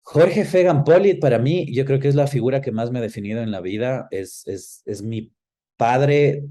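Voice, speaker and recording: A man with a dark, mellow voice at a middling pitch. He talks reflectively in an interview, rephrasing and feeling his way toward the right word. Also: normal speed. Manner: thoughtful, searches for words and rephrases. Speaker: interview guest, actor.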